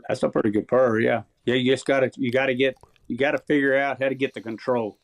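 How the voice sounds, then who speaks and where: A man's voice: medium pitch, fairly even intonation, quick pace, no echo. Easygoing man talking turkey calls. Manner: easygoing, plainspoken, chuckles often. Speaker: champion turkey caller, guest. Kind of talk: casual podcast chat.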